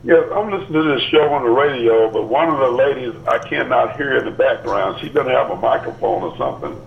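A gravelly man phones in to a radio show and speaks plainly and directly. His call comes through as a muffled line with a faint hiss behind it. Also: mid-range voice, fairly quick. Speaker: caller.

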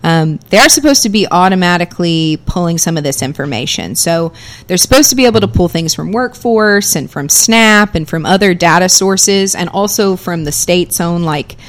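A woman with a lowish, projecting theater voice talks fast and freely as a guest on a radio talk show. She explains policy in a chatty, easygoing way, full of ums and you-knows. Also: lively intonation. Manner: chatty, fluent, explanatory with many fillers.

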